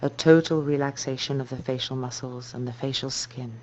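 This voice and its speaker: A woman yoga teacher guiding a meditation in a slow, soft, calming and measured way. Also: extremely low pitch. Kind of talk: guided meditation instruction. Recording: dull muffled recording with noise and hum.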